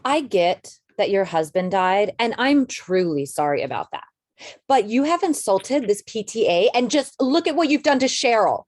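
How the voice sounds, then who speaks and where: A woman's voice: medium pitch, animated, normal speed, darkish tone, dry recording, studio quality, natural voice. A woman playing an indignant school parent in a comedy script read. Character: indignant PTA parent telling someone off. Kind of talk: table read of a comedy pilot.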